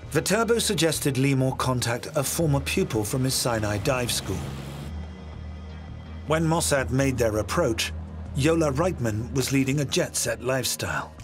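A man narrating a documentary in a measured, scripted way. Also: medium pitch. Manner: measured, even storytelling.

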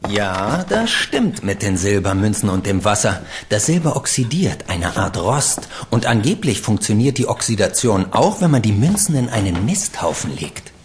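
Friendly young coin shop assistant, radio play, explaining, animated. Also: male voice, lowish voice, moderate pace, few pauses, slight background noise. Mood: chatty.

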